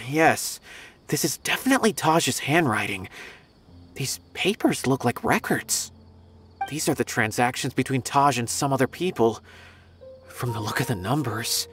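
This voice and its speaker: An actor playing a loyal, conscientious young officer in a video game, earnest and hesitant. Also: male voice, mid-range voice, fairly bright tone. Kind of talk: video game character dialogue. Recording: music behind voice.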